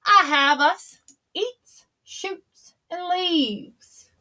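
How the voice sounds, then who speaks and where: A high, bright-voiced old granny, put on by a woman, drawling slowly through a picture book in a homespun, folksy way. She lingers on every word, chatty and theatrical. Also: a little hiss or hum, full character voice. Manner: chatty and theatrical, gasping and exclaiming. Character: folksy old Southern grandma reading aloud. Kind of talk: storybook read-aloud.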